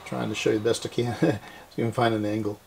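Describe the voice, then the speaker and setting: A man gives a hands-on repair demonstration, explaining informally and methodically step by step in a creaky voice. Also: lowish voice, flat delivery, fast, darkish tone, slight background noise. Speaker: host of a radio restoration series.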